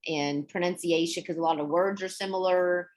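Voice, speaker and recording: Relaxed woman teacher, low-pitched. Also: moderate pace. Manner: relaxed, chatty, laughs often. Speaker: English teacher. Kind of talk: online class explaining vocabulary.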